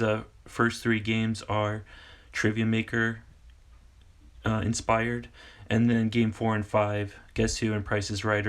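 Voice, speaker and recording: A man in his forties from Kansas, a teacher casually explaining classroom games in a rambling, halting way full of fillers. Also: fairly low pitch. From Kansas, United States. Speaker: English teacher and video host. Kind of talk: casual tutorial talk.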